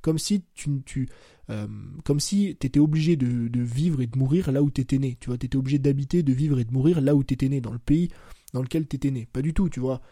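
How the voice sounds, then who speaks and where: A young man talks through his podcast in a loose, informal ramble, often correcting himself mid-sentence. His voice is very dark and mellow. The recording is dry and close, with no room sound. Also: mid-range voice, brisk, dry recording. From France. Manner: chatty, loose and informal, says "tu vois" and "bah" constantly, self-corrects. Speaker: podcast host. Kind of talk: casual solo podcast monologue. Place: at home.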